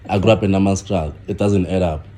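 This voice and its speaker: A young man from South Africa, a guest on a podcast, talking casually with halting starts and repeated words. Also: sits low, steady tone, mellow. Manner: halting, repeats himself, many fillers. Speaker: guest, content creator.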